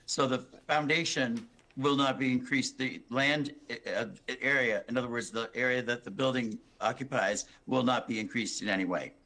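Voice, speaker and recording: Hoarse gravelly man at a meeting. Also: medium pitch, moderate pace, bright, forceful tone. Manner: rambling, many restarts and fillers. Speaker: applicant presenting a building plan. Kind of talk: public meeting testimony.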